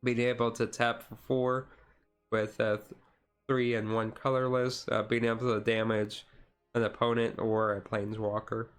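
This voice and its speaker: A man streamer giving a casual, chill card-deck walkthrough, halting and self-correcting, his voice a little tired. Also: medium pitch, flat delivery, clear, resonant tone. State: voice tiring. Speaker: streamer, card game hobbyist.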